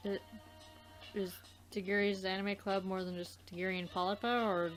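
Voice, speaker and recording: A woman co-hosting a let's play, reacting casually and haltingly as she searches for words. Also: medium pitch, level tone, soft background music. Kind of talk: casual chat, reacting to a game.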